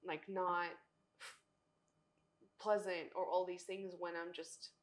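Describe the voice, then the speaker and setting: A young woman whose first language is Russian, co-hosting a casual chat and telling animated stories. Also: fairly low pitch, takes it slowly. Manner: animated storyteller, acts out quotes with laughter. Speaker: podcast co-host, former cheerleader.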